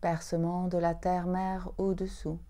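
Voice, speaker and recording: A woman guides a meditation as a yoga teacher. Her voice is mellow and slightly low, and she speaks very slowly. Short, soothing phrases are set apart by long pauses. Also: monotone.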